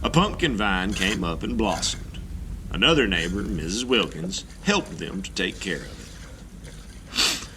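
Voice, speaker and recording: A man reading a children's picture book aloud in an expressive storytelling manner. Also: sits low, a little hiss or hum. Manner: expressive, slow, lively storytelling. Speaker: art teacher reading aloud.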